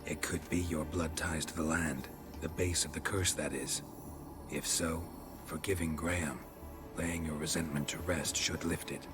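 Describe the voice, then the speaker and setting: An actor playing a stoic, suspicious, world-weary monster hunter in a video game, gravelly and terse, with deadpan delivery. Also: male voice, normal speed, over loud music. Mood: firm, reasoning. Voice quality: gravelly, low. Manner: terse, deadpan, clipped sentences. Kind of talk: dramatic video game acting.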